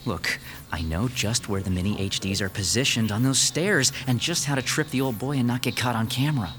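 A man's voice: mid-range voice, fairly quick, fairly bright tone, a little hiss or hum, close to an everyday voice. An actor playing a crude, cocky, scheming ghost-hunt cameraman in an audio horror drama.

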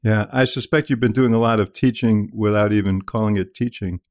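An American man hosting an interview podcast, speaking in a measured, earnest way. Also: lowish voice, steady tone, darkish tone. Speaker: podcast host.

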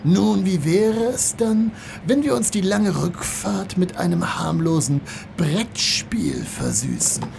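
A man playing a sinister, sly stranger with a creaky, drawn-out delivery in a children's audio drama. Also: sits high, sing-song, noisy background, full character voice. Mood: slyly inviting. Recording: loud music behind the voice.

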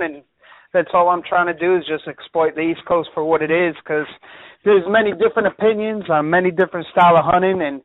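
A man's voice: sits high, no echo. A man calling into a hunting radio show, chatting casually and laughing often. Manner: laid-back, laughs often, says "man" a lot. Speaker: caller. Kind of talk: casual call-in chat. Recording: tinny phone line, dry.

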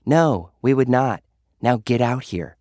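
A man's voice: medium pitch, animated, measured pace, mellow, dry recording, studio quality, pitched above the performer's own voice, lightly acted. A man voicing a hard, foul-mouthed young woman influencer in an audio drama, curt and scolding. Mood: scornful, impatient.

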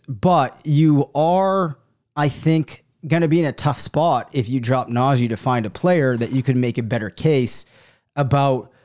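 A man with a cold, a little hoarse, hosting a sports podcast alone and thinking out loud through listener questions, with many ums and hedges. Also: medium pitch. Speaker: fantasy football podcast host. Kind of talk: live solo Q&A, answering listener questions.

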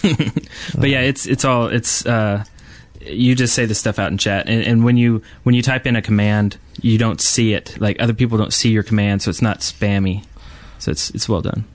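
A man's voice: on the low side, fairly even intonation, moderate pace, dry recording. A man from the United States chatting casually as co-host of a gaming podcast.

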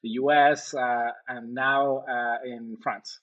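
A man's voice: mid-range voice, flat delivery, slow pace, fairly bright tone, muffled recording. A man from Iran, a company founder, answering interview questions thoughtfully with frequent fillers and restarts. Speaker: company co-founder and CEO, podcast guest.

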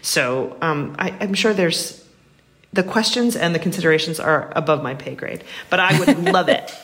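Earnest woman with a lowish voice. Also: moderate pace, fairly bright tone. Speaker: interview guest, writer. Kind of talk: conversational interview answers.

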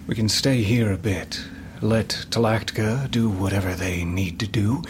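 Man acting slow, low-voiced uneasy companion. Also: noisy background. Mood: uneasy, helpful. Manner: hesitant, unhurried. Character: uneasy, helpful male fantasy companion who knows curses. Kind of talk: fantasy audio drama acting.

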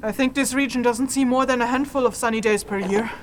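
A woman playing a tough, practical, impatient leader of a fantasy trek in an audio drama, speaking in a brisk, bossy, dry manner. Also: fairly high pitch, background noise, lightly acted. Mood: matter-of-fact.

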